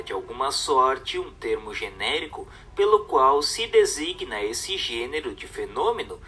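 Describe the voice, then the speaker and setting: A man narrates a text in a measured, instructive manner. His mid-pitched voice is bright and forceful, projecting each phrase as a formal reading. Soft music plays behind him. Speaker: audiobook narrator. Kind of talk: scripted reading of a book.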